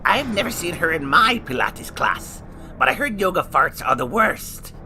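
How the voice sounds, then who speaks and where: A man's voice: fairly high pitch, very animated, normal speed, bright, forceful tone, exaggerated voice. An actor playing a snarky male horror host with a shriveled, burnt face, joking his way through a scripted review. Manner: jokey, theatrical, sarcastic. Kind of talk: scripted comedic review banter. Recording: music bed underneath.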